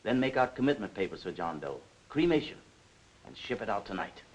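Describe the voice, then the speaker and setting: A man's voice: on the low side, very animated, fairly quick, slight background noise, low-quality recording. An actor playing a bullying, hard-nosed man in a 1940s crime film, curt and commanding. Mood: forceful, bullying. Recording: old 1940s film soundtrack.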